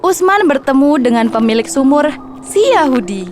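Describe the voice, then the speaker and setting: A woman's voice: high-pitched, lively intonation, moderate pace, faint music behind. A woman reading scripted narration for a children's story.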